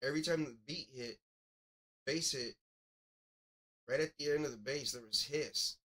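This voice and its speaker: A man hosting a music review, giving casual live critique in a halting, think-aloud way with repeated catchphrases. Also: medium pitch, pushed and bright. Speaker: music review show host.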